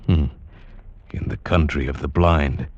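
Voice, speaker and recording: A man's voice: a bass voice, a little slow, a little mellow, dry recording, lo-fi sound. An actor playing an eager, self-assured mountaineer telling his adventure in theatrical vintage radio drama style. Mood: calculating. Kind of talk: vintage radio drama acting, narration and dialogue. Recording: vintage radio broadcast with music behind.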